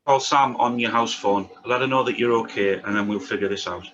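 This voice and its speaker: A man's voice: lowish voice, fairly quick, fairly bright tone, natural voice. A man at a table read playing a wary, blunt, wry companion who talks in British slang. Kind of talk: table read of a TV pilot script. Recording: dull, lo-fi, faint background noise.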